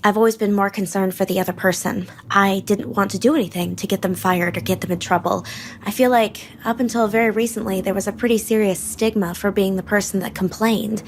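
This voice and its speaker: A woman's voice: mid-range voice, steady tone, a little fast. A woman recording a phone voice memo, speaking in a reflective and earnest way. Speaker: listener sending a voice memo.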